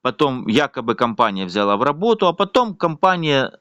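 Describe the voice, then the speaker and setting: Man, indignant rambling complaint, lively up-and-down pitch, dry close sound. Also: a little fast, no room sound. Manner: indignant, rambling, with frequent fillers. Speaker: vlogger. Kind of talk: casual complaint monologue to camera.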